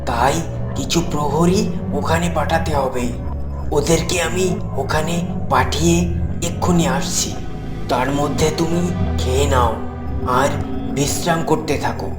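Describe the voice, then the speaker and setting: An actor playing a dutiful, caring king in a fairytale cartoon, speaking in halting phrases. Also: mid-range voice, close to an everyday voice. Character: dutiful king busy protecting his people. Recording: echoing voice over clear music.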